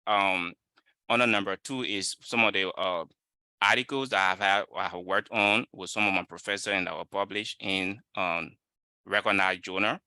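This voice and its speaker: Sharp-voiced Liberian man presenting. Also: deep voice, normal speed, clean tone, no room sound. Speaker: webinar speaker, student researcher. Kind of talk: informal lecture presentation.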